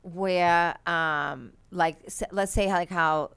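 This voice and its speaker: A woman's voice: fairly low pitch, steady tone, takes it slowly, vocal fry, fairly bright tone, clean tone, dry recording. A woman hosting a casual interview, chatty and encouraging as she asks her guest questions. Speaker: podcast host.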